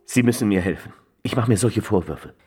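An actor playing an insecure, lovestruck detective, stammering and full of self-reproach, in a German radio crime drama. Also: male voice, on the low side, brisk, mellow, slightly rough. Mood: miserable. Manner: flustered, stammering.

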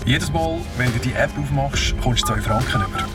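An actor playing a sly radio presenter teasing a creepy tale in scripted banter. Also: male voice, mid-range voice, fairly quick, recorded from a distance, over loud music, natural voice.

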